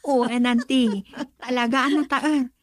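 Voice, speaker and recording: A young woman with an Ilocano accent acting a polite, hesitant niece in a radio drama, with drawn-out hums. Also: a little high, steady tone, dry recording, clean studio sound, close to an everyday voice. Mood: shy, hesitant.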